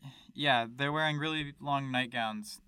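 A game master narrating a tabletop game, halting and restarting often. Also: mid-range voice, bright, forceful tone, clear, resonant tone, no room sound.

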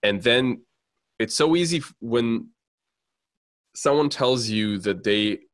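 A man from Serbia in casual conversation, talking in halting phrases with many fillers and restarts. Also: medium pitch, lively intonation, no room sound, clean studio sound.

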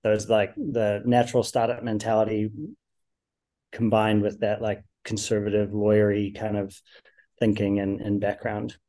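Mellow-voiced man, American with a New Zealand tinge. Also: fairly low pitch, monotone, moderate pace. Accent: American with some New Zealand tinge. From United States. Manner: chatty, many "um" and "uh" fillers, upbeat. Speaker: startup founder guest. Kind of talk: casual podcast interview.

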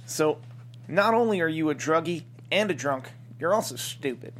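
A man's voice: on the high side, expressive, normal speed, fairly bright tone, slight background noise. A man playing a dry, sarcastic small-town desert lawman in a comedy script table read. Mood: dry scorn.

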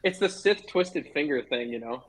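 A man's voice: fairly high pitch, expressive, moderate pace. A man offering casual film commentary as a podcast panelist.

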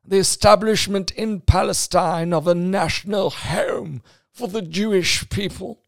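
A pompous, plummy British official , as a podcaster puts him on, reads a formal declaration in a stately voice. He pitches it high and drags the words out slowly. The sound is close and dry, with no room around it. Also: creaky, no echo. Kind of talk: podcast monologue.

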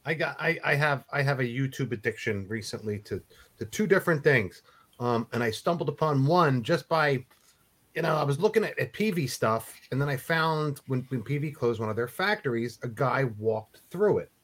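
A man co-hosting a casual chat show, telling stories in an animated way and laughing often. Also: mid-range voice, moderate pace. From Philadelphia area. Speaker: co-host.

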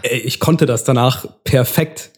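A man's voice: medium pitch, steady tone, a little slow. A young man, chatting informally and with slang as a podcast co-host. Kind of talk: casual chat.

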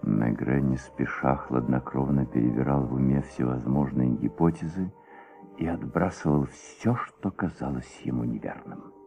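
A man performing as the dramatic, measured narrator of a detective story in a Soviet radio play. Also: very deep voice, gravelly, warm tone. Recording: dull old radio recording, faint music.

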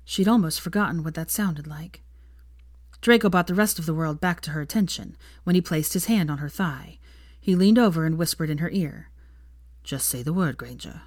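A woman's voice: fairly low pitch, a little fast, darkish tone, dry recording, clean studio sound. A woman reading fiction aloud as an audiobook narrator, in scripted storytelling style.

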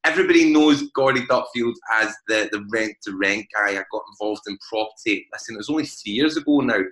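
Upfront Glaswegian man with a bright voice. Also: lowish voice, roomy sound, low-quality recording. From Scotland. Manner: frank, upfront, deliberately slowed speech. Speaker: guest. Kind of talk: candid podcast interview.

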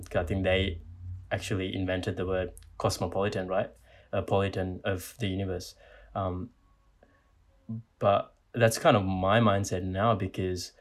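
A podcast host from Sri Lanka with a very deep, flat voice. He rambles through his thoughts, often closing with a questioning "right?". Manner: rambling, tagging sentences with "right?". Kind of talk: casual discussion.

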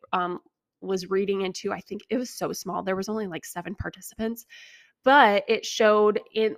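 Young woman, American accent, gravelly fry, medium pitch, casual podcast host, explanatory, opinionated. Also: no room sound, studio quality. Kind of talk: casual informative solo podcast talk, answering listener questions.

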